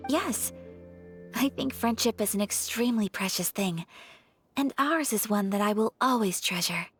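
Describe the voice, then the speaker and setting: A woman voicing a polite, gentle and shy young noblewoman in an anime-style video game, formal and hesitant in manner. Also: medium pitch, expressive, normal speed, soft background music, natural voice. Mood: touched, earnest.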